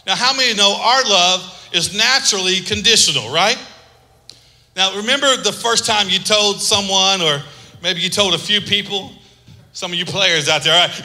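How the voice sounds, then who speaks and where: A man's voice: high voice, sharp tone, big-room sound. A man preaching a sermon, asking the congregation to repeat words and joking between points. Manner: preaching with call-and-response and humor. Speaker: pastor.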